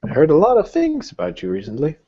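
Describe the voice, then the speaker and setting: A man playing a stern female warrior smith, with a terse, deliberate, questioning manner. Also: fairly high pitch, huge pitch swings, warm tone, dull audio, voice pushed up. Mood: stern, wary.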